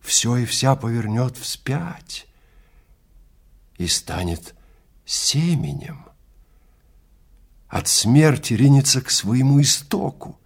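A man narrating literary fiction in a theatrical, measured manner with deliberate phrasing. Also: medium pitch, slightly rough.

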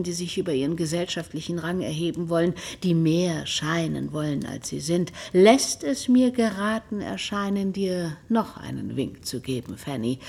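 Gravelly, low-voiced haughty aunt. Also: female voice, mellow. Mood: stern admonishing. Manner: condescending, admonishing, formal. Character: haughty, scolding aunt putting her niece down. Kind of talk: German radio drama acting.

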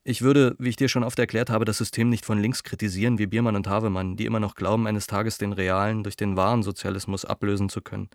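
A man's voice: fairly low pitch, flat delivery, quick pace, few pauses, no room sound, clean studio sound, lightly acted. An actor in a radio play as an earnest, cautious dissident intellectual, reading his letter in a reflective, articulate, argumentative way. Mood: earnest, reasoning.

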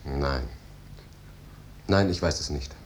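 An actor playing an earnest, troubled but resolute lawyer in a 1950s German radio drama, with formal, solemn, measured speech. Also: male voice. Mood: grave, shaken. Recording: noisy 1950s radio recording with rumble.

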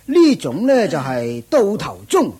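An actor playing a proud older ascetic master in a radio drama, with a husky, raspy voice, a drawling self-satisfied manner. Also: male voice, sits high, wildly swooping pitch, unhurried, dark tone, heavily acted. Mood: showing off. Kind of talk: Cantonese radio drama acting.

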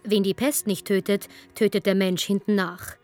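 Female documentary-drama narrator, measured and emphatic, mid pitch, soft music underneath. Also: level tone. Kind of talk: scripted dramatic storytelling narration.

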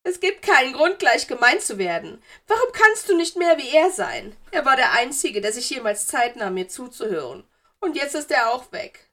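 Lady doing a whiny lovesick ghost girl for an audiobook, high voice, super dramatic and sobby. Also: big pitch swings, normal speed, fairly bright tone, heavily acted. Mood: hurt, plaintive. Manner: sobbing, wheedling, melodramatic complaining. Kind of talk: audiobook character voice.

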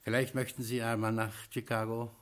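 An actor playing a retired gangster boss in a 1950s radio crime play, stiff and principled. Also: male voice, mid-range voice, little pitch movement, brisk, close to an everyday voice. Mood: composed. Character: retired male gangster boss turned gentleman.

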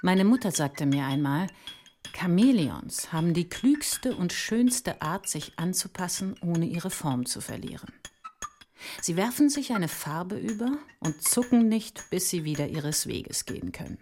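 A woman playing a thoughtful, imaginative mathematician, speaking deliberate poetic lines in a literary audio drama. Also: on the low side, lively intonation, a little mellow. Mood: reflective. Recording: music under the voice. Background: music.

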